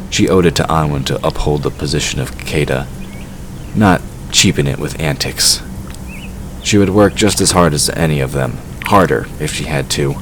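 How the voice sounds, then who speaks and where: Male narrator for fantasy audio drama: exceptionally low voice, scripted storytelling, clear ambient noise behind.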